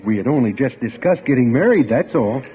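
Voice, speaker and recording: Lovesick young leading man male actor, old radio drama, very animated, narrow-band sound. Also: medium pitch, fairly quick, close to an everyday voice. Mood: earnest. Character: lovesick, earnest young man searching for missing girlfriend. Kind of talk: old-time radio drama acting and narration. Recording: muffled vintage radio recording with music.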